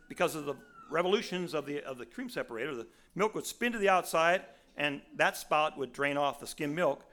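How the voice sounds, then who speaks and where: A man in his sixties with a raspy voice, preaching a sermon in a folksy storytelling way with many restarts. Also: a little high, pushed and bright.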